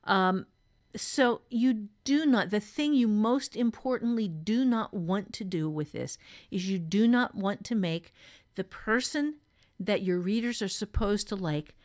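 A woman's voice: mid-range voice, a little slow, a little mellow. A woman co-hosting a talk on fiction craft, explaining things in an emphatic, conversational way. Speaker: podcast co-host and novelist giving writing advice. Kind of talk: conversational writing advice.

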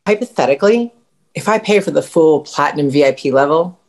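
A woman acts a shallow, eager customer in a satirical comedy. Her voice is low for a woman, and her delivery is that of a comedic script read. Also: lively intonation, fairly quick, a little mellow.

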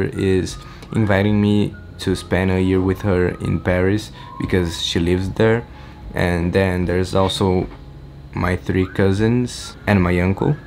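A teenage boy from Brazil, Portuguese native, chatting excitedly in a casual vlog update from his small bedroom, rambling and restarting often. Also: low-pitched, slight background noise. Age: teens. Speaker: vlogger. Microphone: camera-mounted shotgun mic.

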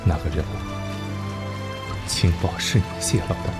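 A man's voice: normal speed, close to an everyday voice. A man playing a proud, passionate Nationalist army officer in a Chinese radio drama. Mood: grave, searching. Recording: music and rain behind.

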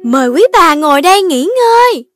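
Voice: extremely high pitch, big pitch swings, moderate pace. An actor voicing a polite, dreamy, playful little child in a children's cartoon. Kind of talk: children's animated cartoon voice acting. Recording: music under the voice.

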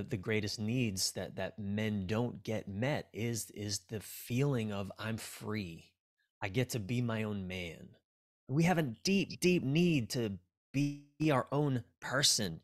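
An American man in his forties speaking frankly and casually as an interview guest, reflective and prone to swearing. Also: fairly low pitch. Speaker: relationship coach, podcast guest. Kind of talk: casual, candid interview talk. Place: small dim indoor room, video call.

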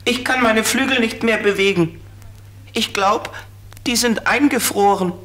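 Small winged companion for a children's audio drama: slow speech, good-natured and helpful. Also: male voice, sits high, a bit bright. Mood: dismayed. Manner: unhurried, simple, good-natured. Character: friendly, helpful little flying companion with wings. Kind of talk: children's radio play acting.

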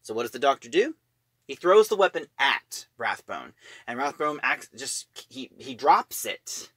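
Bright-voiced man giving a casual review. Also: medium pitch, big pitch swings, measured pace, breathy edge, no echo. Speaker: reviewer, host of an audio drama review show. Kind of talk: casual spoken review retelling a plot.

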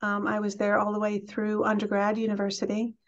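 A woman's voice: mid-range voice, completely flat delivery, fairly quick. A middle-aged woman from England, a college president speaking as a guest on a video call, in a friendly, chatty way with many ums and restarts. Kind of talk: casual talk on a video call. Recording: dull lo-fi video call audio.

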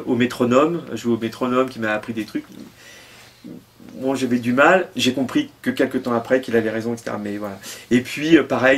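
French guy, middle-aged, rambling on with lots of euh, medium voice, home studio. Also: medium pitch. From France. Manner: rambling, many fillers and trailing asides. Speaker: guitarist. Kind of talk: casual interview answers. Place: home music studio, indoors.

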